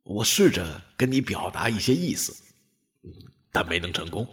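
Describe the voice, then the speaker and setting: An actor playing a friendly old dog, easygoing and eager, in a radio drama. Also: male voice, deep voice, sing-song, moderate pace. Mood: frank, gentle. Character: friendly old dog, a space explorer's loyal companion.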